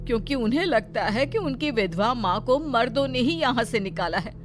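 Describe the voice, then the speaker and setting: A woman's voice: fairly high pitch, expressive, moderate pace, music in the background, natural voice. A woman playing a firm village aunt who explains things in an instructive way in a cartoon story. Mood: grave. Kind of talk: Hindi cartoon story acting.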